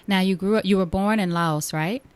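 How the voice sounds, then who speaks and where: A woman with a smooth, clear voice hosting an upbeat interview podcast on a close mic. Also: mid-range voice, moderate pace, no room sound.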